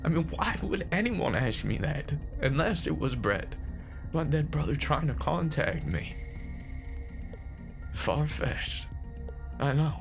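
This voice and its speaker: A man's voice: fairly high pitch, sing-song, slow, gravelly, long pauses, faint music behind, exaggerated voice. A man playing an anxious, grieving older brother recording confessional horror voice notes, jittery, stammering and questioning himself. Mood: hopeful, desperate. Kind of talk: found-footage horror audio monologue, confessional voice notes.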